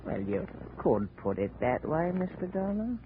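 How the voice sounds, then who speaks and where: A fawning, timid agent. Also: male voice, on the high side, sing-song, unhurried, slight background noise. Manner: fussy and ingratiating. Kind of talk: 1940s radio acting. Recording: tinny old radio broadcast.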